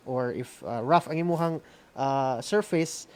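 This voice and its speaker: A Filipino host with a Visayan accent speaks in a medium-pitched voice. The delivery is casual and informal, mixing languages and often ending phrases with a checking "no?", as in an online class. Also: measured pace. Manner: frequent "no?" tags, informal. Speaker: host of a school-on-the-air program. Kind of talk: casual online class hosting, code-switching.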